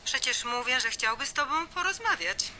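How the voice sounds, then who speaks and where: A bright, brisk office secretary. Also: female voice, sits high, lively intonation, exaggerated voice. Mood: insistent. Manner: impatient, hurrying the listener. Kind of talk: radio drama acting.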